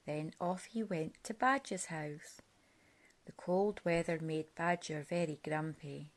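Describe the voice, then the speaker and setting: A woman reading a children's picture book aloud in a deliberate, expressive storytelling manner. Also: deep voice.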